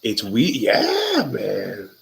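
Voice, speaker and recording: A man with a lowish voice hosts a casual interview. He is chatty and jokey, drifting into loose, profane stories with quick asides. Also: wildly swooping pitch, gravelly, fairly bright tone, a little hiss or hum. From Wisconsin. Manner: chatty, joking, profane storyteller. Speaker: interviewer, podcast host.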